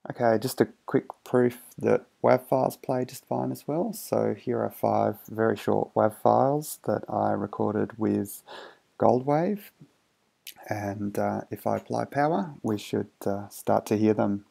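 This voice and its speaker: A man's voice: lowish voice, a little slow, gravelly, mellow, breathy edge. A man casually narrating a hands-on electronics demo in a hesitant, understated way, thinking aloud. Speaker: electronics hobbyist presenter.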